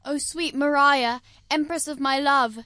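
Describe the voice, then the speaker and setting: A woman's voice: sits high, steady tone, a little slow, pushed and bright, dry recording, clean studio sound. A woman playing a lovesick young nobleman in a Shakespeare performance, declaiming verse. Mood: yearning. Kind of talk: Shakespeare stage acting.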